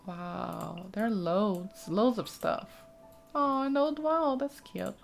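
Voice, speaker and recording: A woman with a mid-pitched voice hosts a casual gaming let's play. She talks informally and exclaims often, thinking aloud as she goes. Music plays faintly behind her. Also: takes it slowly, vocal fry.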